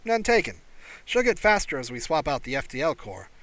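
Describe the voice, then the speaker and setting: A confident female pilot from a science fiction audiobook, played by a male reader. She is breezy and enthusiastic, proud of her machine and a little playful. The delivery is bright. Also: on the high side, big pitch swings, brisk, no echo.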